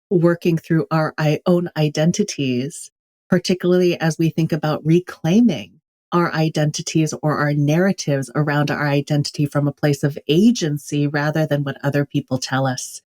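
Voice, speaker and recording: A woman's voice: deep voice, darkish tone. A woman from California speaking as an author guest in an interview, thoughtful and candid. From California, USA. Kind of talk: reflective interview talk.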